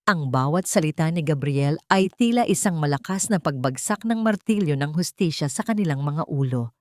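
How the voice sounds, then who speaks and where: A woman storyteller with a fairly low voice delivers scripted drama narration. Her delivery is measured and emphatic, building weight on each phrase. The recording is close and dry. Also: a little mellow, no room sound.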